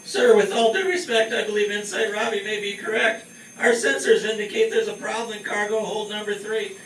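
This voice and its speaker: Earnest ship's officer male actor, respectful report, radio parody, high register, faint music behind. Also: flat delivery, a little fast, fairly bright tone. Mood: urgent, respectful. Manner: formal reporting. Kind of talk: sci-fi parody radio play acting. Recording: roomy distant mic.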